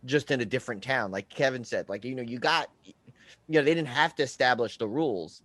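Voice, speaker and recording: A man hosting a podcast, chatting casually about films in halting phrases that often circle back. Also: medium pitch, fairly bright tone, no echo. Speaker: podcast host. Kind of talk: casual film chat.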